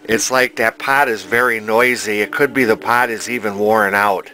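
A man's voice: fairly low pitch, fairly even intonation, moderate pace. A man narrating a casual repair vlog as a vintage television restorer, thinking aloud in a hesitant, rambling way in his home workshop.

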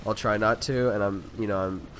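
Sick guy with a blocked nose and a slightly low voice, rambling gameplay commentary, gunfire sounds clearly audible behind. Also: fairly even intonation, fairly quick, slight background noise. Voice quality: nasal. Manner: rambling, talkative, frequent fillers. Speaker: gaming video creator. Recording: voice over loud gunfire game audio. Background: video game gunfire and sound effects.

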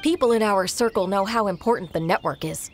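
A woman voicing an adult informant in a video game, speaking in a measured, businesslike way. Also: mid-range voice, slight background noise, natural voice.

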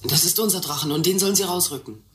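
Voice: mid-range voice, fast, fairly bright tone, echoey room, lightly acted. An actor playing a stubborn, plucky, indignant boy in a radio drama, defiant and direct.

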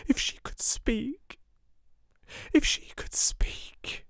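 Gentle British male narrator, slow and measured, dry close sound. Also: fairly low pitch, no room sound. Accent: British English. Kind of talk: soft-spoken audiobook reading.

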